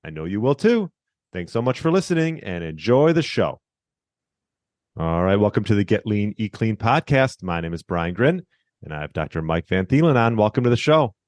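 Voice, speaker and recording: Man, podcast host, friendly, relaxed, low voice, dry sound. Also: moderate pace, no echo. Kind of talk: casual interview hosting.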